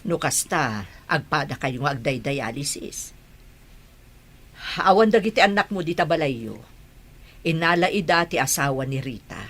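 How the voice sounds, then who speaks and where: Blunt Ilocano village woman, low and husky, reproachful, radio drama. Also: expressive, moderate pace, pauses often, a bit bright.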